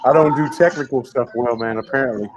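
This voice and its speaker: A man's voice: medium pitch, fast talker, a crowd in the background, poor audio. A middle-aged man co-hosting a casual show from home over a video call, laid-back and jokey, often trailing off into a mumble. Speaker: co-host. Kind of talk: casual chat.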